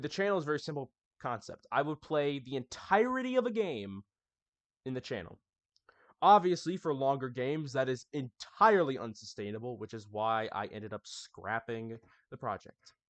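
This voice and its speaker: A man's voice: medium pitch, dry recording. A young man hosting his gaming channel, recapping it in a casual, chatty way and talking straight to his viewers. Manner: chatty, addresses viewers as y'all. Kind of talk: casual scripted anniversary recap.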